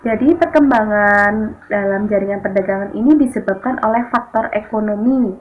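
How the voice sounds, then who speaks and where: A woman's voice: mid-range voice, moderate pace. A woman teaching a lesson, explaining step by step in an orderly, didactic way. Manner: didactic, signposts each point in order. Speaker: history teacher. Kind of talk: explanatory history lesson for students. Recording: thin phone-like audio with music behind. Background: background music.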